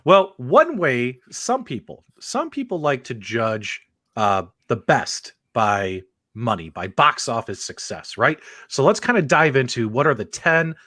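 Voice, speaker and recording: An upbeat man hosts a live panel show with a very animated delivery. His voice sits at a middling pitch, and he talks straight to the audience. The sound is dry and close. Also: measured pace, no echo. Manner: upbeat, addresses the audience directly. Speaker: host. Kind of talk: hosting a live panel discussion.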